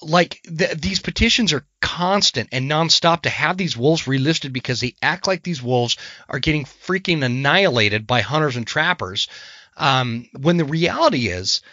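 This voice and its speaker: Hoarse, fired-up American man. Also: a little high, lively intonation, moderate pace, bright, forceful tone, no room sound, highs cut off. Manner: passionate, gets worked up, many restarts. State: hoarse from a cold. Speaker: podcast host. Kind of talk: casual podcast conversation.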